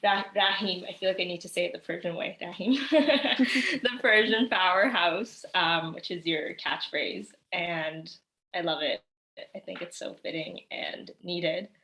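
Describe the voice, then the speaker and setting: A woman's voice: on the low side, a little slow, sharp tone, a little hiss or hum. A young woman hosting a casual podcast interview, encouraging her guest with frequent "mm"s and "um"s. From born in England, raised in Canada. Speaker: podcast host.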